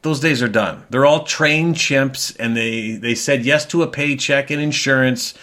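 Bright-voiced rambling man hosting. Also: medium pitch, unhurried. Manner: rambling, self-correcting. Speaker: podcast host. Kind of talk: casual chat.

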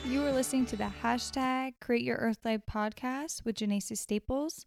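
Female host reading the show intro, slightly high, dry close sound. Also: moderate pace, creaky, dry recording, soft background music. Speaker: podcast host.

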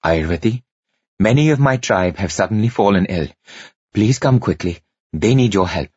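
Guy reading as rugged male tribe chief, calm but commanding, husky, storybook hero. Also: lowish voice, moderate pace, no room sound, muffled recording. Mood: calm, urgent.

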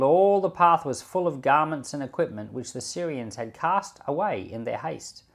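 Man with expressive, varied scripture-teaching delivery. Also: mid-range voice. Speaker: Bible podcast host and preacher. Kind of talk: scripture reading and informal devotional commentary.

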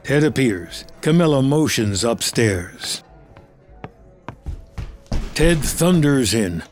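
A man's voice: mid-range voice, big pitch swings, slow pace, faint music behind. A man giving scripted, present-tense narration of a film story as its narrator.

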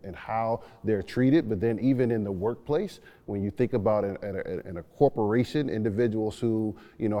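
A man's voice: on the low side, mellow. A man in reflective conversation as a co-host, thinking aloud and often repeating words as he goes. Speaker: podcast co-host. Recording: long echoing reverb.

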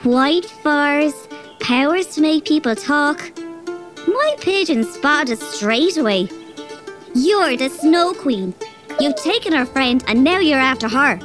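A woman's voice: sits high, animated, slow, music in the background. A woman playing a cocky Irish robber girl. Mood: bossy. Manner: bossy, threatening, blunt. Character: tough cocky robber girl, bossy and blunt. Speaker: narrator. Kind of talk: audio drama acting.

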